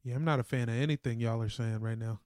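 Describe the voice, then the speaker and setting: A man's voice: medium pitch, fairly even intonation, fast talker, a little mellow, dry recording, studio quality. A man co-hosting a podcast in casual sports chat, with a flippant, slangy way of talking. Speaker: podcast co-host.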